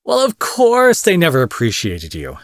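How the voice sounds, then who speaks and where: A man's voice: on the high side, huge pitch swings, moderate pace, dry recording, studio quality. A man playing an angry, protective supervillain in an intimate audio roleplay, menacing yet tender, speaking emphatically with swearing and pet names. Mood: angry, indignant.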